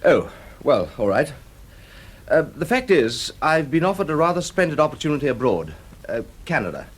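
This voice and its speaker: An actor playing a polite, eager production manager with a British accent and a husky voice, in a radio drama. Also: male voice, on the high side, very animated, moderate pace. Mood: pleading. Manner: hesitant, well-mannered.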